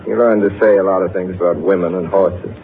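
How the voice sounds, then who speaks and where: An actor playing an aloof, dryly cynical gentleman, laconic and polite, in a 1930s radio drama. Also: sits low, fairly even intonation, fast. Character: aloof, dry, cynical gentleman horse-lover. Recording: narrow, faintly noisy 1930s radio recording.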